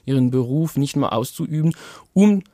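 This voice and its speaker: A man's voice: medium pitch, dry recording, studio quality. Young man with a French accent. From France. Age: young adult. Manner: calm, measured, formal phrasing. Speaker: interview guest. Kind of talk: radio interview. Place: radio studio.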